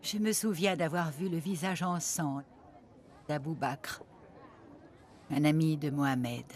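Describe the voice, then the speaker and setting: Slow, higher-voiced male witness. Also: some chatter behind, a little hiss or hum. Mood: sorrowful. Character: devout companion from the Prophet's household, earnest witness recalling persecution. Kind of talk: dramatized first-person narration for an animated documentary.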